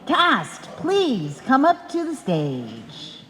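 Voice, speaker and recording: A woman's voice: high voice, wildly swooping pitch, drawn-out words, fairly bright tone. A woman host introducing a live show from the stage. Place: indoor stage before a live audience. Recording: reverberant hall with audience noise.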